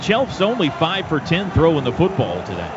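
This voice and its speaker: An American man calling live football play-by-play on radio in clipped, excitable bursts, recorded in lo-fi broadcast sound. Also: fairly high pitch, over a noisy crowd. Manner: excitable, clipped rapid-fire calls. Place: stadium radio booth. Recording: dull lo-fi broadcast with loud stadium noise. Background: loud stadium ambience.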